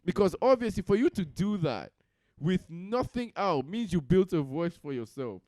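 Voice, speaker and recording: A man's voice: sits high, sing-song, normal speed, a little mellow, dry recording. A man hosting a podcast in a laid-back, slangy way. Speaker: podcast host. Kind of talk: casual chat.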